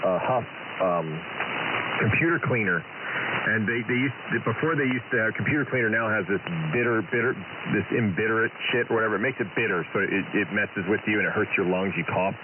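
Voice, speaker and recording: A man's voice: fairly low pitch, fast talker. Man chatting over hissy narrowband radio. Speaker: amateur radio operator. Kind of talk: casual rambling radio chat. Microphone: ham radio transmission. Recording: noisy narrowband two-way radio with loud hiss.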